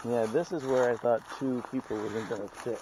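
A man's voice: mid-range voice, a little fast. A middle-aged man chatting to viewers while hauling a log through a forest, his voice caught by a body-worn camera. The recording is noisy and outdoorsy, with rustling and clatter around him. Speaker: vlogger filming trail work. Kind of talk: casual chat while working. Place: outdoors in a steep wooded forest. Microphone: body-worn action camera. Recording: outdoor camera mic with loud noise.

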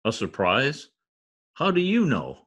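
A man's voice: mid-range voice, huge pitch swings, slow, a bit bright, husky, heavily acted. An older man playing a pompous, exasperated radio star with a theatrical manner in old-time radio comedy. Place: indoor home office. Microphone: computer mic on video call.

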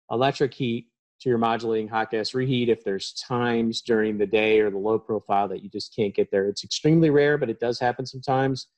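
A man's voice: mid-range voice, darkish tone. Brief: man in his thirties, trainer, plain explanatory delivery, webcam sound. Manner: explanatory, plain-spoken, frequent ums. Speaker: HVAC trainer. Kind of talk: technical presentation.

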